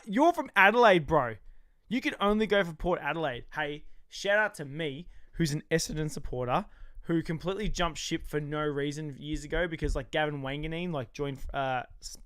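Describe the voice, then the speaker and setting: Australian male co-host, rowdy joker, pitched a bit high, crisp and dry. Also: no echo. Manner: loud, jokey, excitable, trash-talking. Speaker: podcast co-host. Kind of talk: casual reality-TV recap chat.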